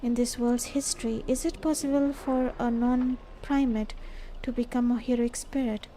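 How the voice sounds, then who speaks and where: A woman's voice: fairly high pitch, fairly even intonation, moderate pace, warm tone, slight background noise. A woman streamer reading a game's story dialogue aloud. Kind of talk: live stream read-aloud of game script. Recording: faint game music behind. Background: faint music.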